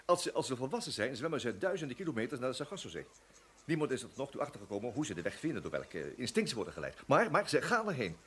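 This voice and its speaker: A man playing an excitable, talkative companion in an old radio play. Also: medium pitch, a little hiss or hum. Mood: excited. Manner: excitable, quick-talking. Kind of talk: 1950s-style radio drama acting.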